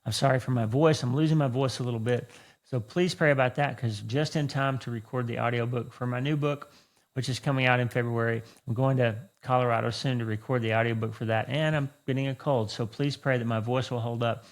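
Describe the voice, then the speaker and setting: Gravelly-voiced male podcast host. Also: mid-range voice, steady tone, brisk. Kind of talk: conversational interview and podcast talk.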